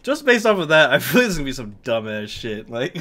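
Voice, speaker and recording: Animated young man laughing through clips. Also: mid-range voice, a little fast. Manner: laughs often, animated, swears casually. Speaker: co-host reacting to gameplay clips. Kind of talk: casual reaction commentary.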